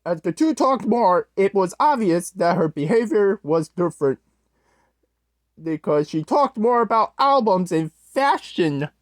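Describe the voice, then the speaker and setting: A storyteller narrating a creepy tale in a halting way, often stumbling and rewording. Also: mid-range voice, dry recording, studio quality. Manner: halting, corrects own wording mid-sentence. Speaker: host, storyteller.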